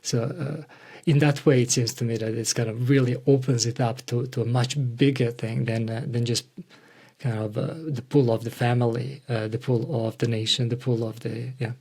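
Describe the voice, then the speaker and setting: A man hosting a reflective interview, speaking hesitantly and haltingly as he searches for words. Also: medium pitch, steady tone, a little mellow, husky. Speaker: podcast host interviewing a guest.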